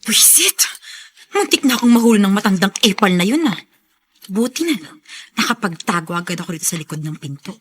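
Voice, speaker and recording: A woman's voice: medium pitch, big pitch swings, fairly quick, fairly bright tone, slightly rough. A woman playing a spiteful, scheming, jealous rich young woman in a Filipino radio drama, sneering and haughty in her delivery. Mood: gloating, scheming.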